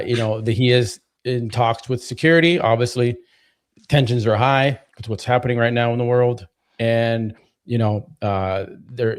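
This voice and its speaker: Chatty man hosting a live stream. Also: medium pitch. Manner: relaxed, chatty, lots of "you know" and "right?". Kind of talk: casual live-stream chat.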